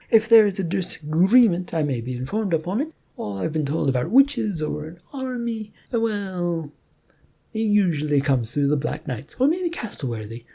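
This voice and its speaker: Woman putting on a fussy, flustered king, pitched up. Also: warm tone, slightly rough, full character voice. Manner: blustering, dithering. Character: flustered, pompous, fretful male king, self-absorbed bureaucrat. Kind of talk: audio storybook character voice.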